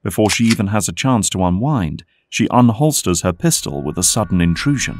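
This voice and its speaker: A man's voice: on the low side, lively intonation, fairly quick, slight background noise. A man reading scripted, dramatic narration as the narrator of an audio drama. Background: background music.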